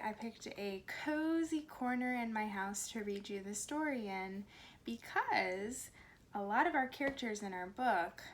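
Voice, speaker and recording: A woman reading a storybook aloud to young children, expressively and with simple explanations, in a home recording. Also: mid-range voice. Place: cozy corner at home. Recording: faint background noise, home recording.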